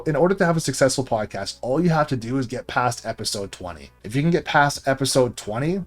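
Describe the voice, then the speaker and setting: A man, a content creator and coach, talking casually to his viewers in a rambling monologue full of fillers. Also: mid-range voice. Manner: conversational, rambling with frequent fillers.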